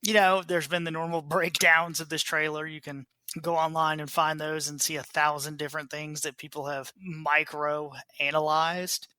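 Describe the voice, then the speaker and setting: A man hosting a podcast, chatting casually in an animated, opinionated and informal way. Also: a little high, normal speed, smooth, no room sound. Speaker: podcast host. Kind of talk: casual panel chat about a TV trailer.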